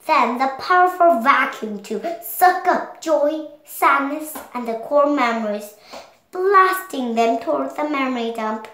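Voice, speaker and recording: High-pitched young girl reading aloud. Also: expressive, sharp tone, echoey room, poor audio. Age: child, 7 years old. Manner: careful, halting reading aloud. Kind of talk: read-along storybook narration.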